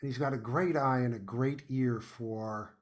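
A man with a medium-pitched voice talks casually as a podcast guest. His manner is enthusiastic and emphatic, full of effusive appreciation. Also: steady tone, a little slow. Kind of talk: casual conversational review.

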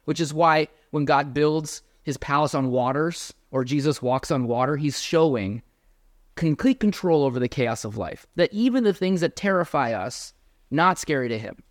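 A man's voice: fairly high pitch, lively intonation, normal speed, no echo, clean studio sound. A man preaching an earnest, emphatic sermon and speaking directly to his congregation. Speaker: pastor.

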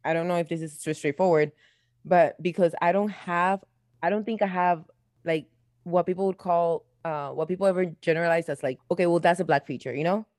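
A woman's voice: on the low side, steady tone, brisk, darkish tone, no echo, clean studio sound. A young woman with a light Latin American accent, born abroad, chatting casually as a podcast co-host and rambling with lots of fillers and restarts. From born outside the US. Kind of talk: casual chat.

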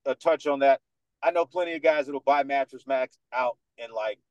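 A man in his thirties with a Dominican American accent, speaking as a podcast guest in a confident, animated way. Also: medium pitch, normal speed, no echo, highs cut off. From United States, New York. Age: 30s. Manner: confident, animated storyteller who acts out other people's lines. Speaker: guest, sports radio host. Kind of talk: casual podcast interview.

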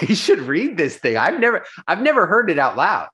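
A man's voice: high voice, huge pitch swings, normal speed, a bit bright. A young adult man, an actor, chatting casually in an interview, laughing easily and restarting his sentences. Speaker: actor and filmmaker guest.

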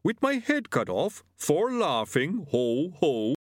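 A man plays a large, placid storybook yeti, obliging and matter-of-fact. The voice swings freely in pitch and sits close and dry. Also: huge pitch swings, slow, no echo, exaggerated voice. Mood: unbothered.

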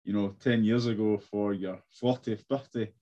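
A man's voice: fairly low pitch, fairly even intonation, normal speed, mellow. Glasgow guy hosting an interview, scottish accent, casual, muffled lo-fi sound. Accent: Glaswegian Scottish. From Scotland. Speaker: host.

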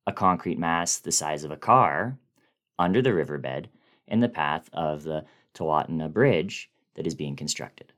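A man's voice: low voice, close to an everyday voice. A man reading a horror story in the first person as an uneasy, sleepless construction project manager, confiding and troubled.

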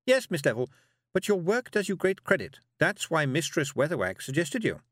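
A prim, commanding schoolmistress of a witch, put on by a male reader, pitched far above a man's talk. The voice is brisk and suspicious, swooping widely, in a dry storybook recording. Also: big pitch swings, fairly bright tone, no room sound. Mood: prim, measured.

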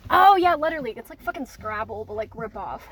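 A young woman co-hosting a casual car vlog, loud and rambling, swearing often, recorded on a phone set down in the car. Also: a little high, lively intonation, fast talker. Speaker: vlog co-host.

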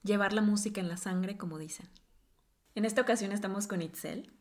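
A woman's voice: mid-range voice, brisk. A woman hosting a podcast, asking her guest questions in a casual, curious way. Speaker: podcast host.

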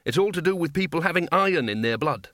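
A man's voice: a little high, lively intonation, quick pace, a bit bright, dry recording, studio quality, voice pushed up. A man voicing a doubtful wizard Dean who is eager to help in a comic fantasy audiobook, tentative and earnestly explaining.

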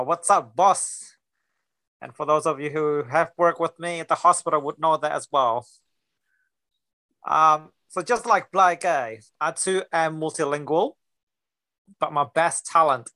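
A man's voice: on the high side, pushed and bright, dry recording. A young man from Singapore with a mixed Singaporean and New Zealand accent, co-hosting a casual podcast chat in a laid-back, joking way full of bro slang. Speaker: podcast co-host.

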